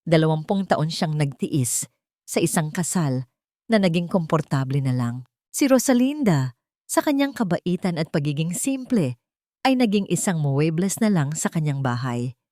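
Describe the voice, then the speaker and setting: A woman's voice: low-pitched, big pitch swings, normal speed, mellow, no echo, studio quality. A woman with a Filipino accent narrating a scripted, dramatic romance story.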